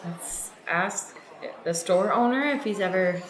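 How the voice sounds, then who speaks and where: A woman's voice: mid-range voice, measured pace, lightly acted. Woman acting a pretentious mystic, echoey room. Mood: eager. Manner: pompous. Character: pretentious mystic adventurer connected to the universe. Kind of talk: improvised comedic radio play. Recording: roomy echo.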